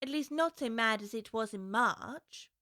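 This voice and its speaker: A woman's voice: on the high side, measured pace, sharp tone, dry recording, studio quality. An actress playing a curious, polite young girl who is well spoken and wonders aloud, for a full-cast audiobook.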